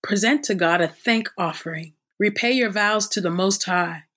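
A woman reads Bible passages in a measured, earnest way, then moves into spoken prayer. Her voice sits at a middle pitch, and she returns again and again to the same words of address as she prays. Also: fairly bright tone. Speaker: Bible reader. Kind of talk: scripture reading and spoken prayer.

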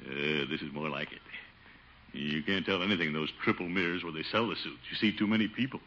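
A man's voice: sits low, quick pace, pushed and bright. A man playing a vain, pompous, blustering middle-aged uncle in a 1950s radio comedy. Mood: self-admiring. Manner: pompous, self-satisfied, chuckling bluster. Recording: dull old radio recording, faint noise.

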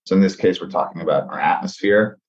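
A very low-voiced man from Mexico and Texas in his thirties, chatting casually as a podcast guest. He talks in a friendly, animated way, peppered with "uh" fillers. The recording sounds a little dull and lo-fi. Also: fast. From born in Mexico, raised in South Texas. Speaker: podcast guest, air pollution scientist. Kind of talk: casual interview talk.

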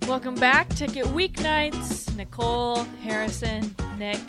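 A woman's voice: a little high, loud music behind the voice. Chatty South Dakota radio host woman. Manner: chatty, joking, quick asides. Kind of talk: casual sports radio chat. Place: radio studio.

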